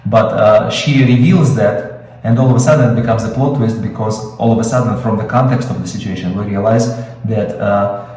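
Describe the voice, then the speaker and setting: A man's voice: fairly low pitch, fairly even intonation, normal speed, darkish tone, very echoey, recorded from a distance, rough recording. A man with a Russian accent, Russian his first language, teaching screenwriting in an improvised webinar, explaining with many fillers and restarts. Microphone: video call.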